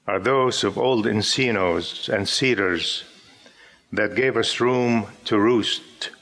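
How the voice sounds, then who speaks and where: A man in his fifties from Syria, an Arabic speaker, reading his poems aloud to a live audience in a gentle, measured way. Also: fairly low pitch. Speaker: poet. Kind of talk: poetry reading with spoken introductions. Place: live gathering before an audience.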